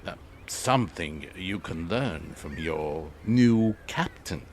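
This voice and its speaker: An actor in an audio drama playing a genial, generous older shipowner, avuncular and measured, with small hesitations. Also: male voice, low voice, expressive, noisy background. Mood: measured, firm.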